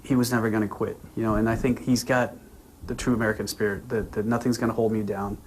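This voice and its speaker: A man in his forties, born in Vietnam, a naval commander giving a news interview in an earnest, halting way with frequent restarts. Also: fairly low pitch, little pitch movement, a little hiss or hum, low-quality recording.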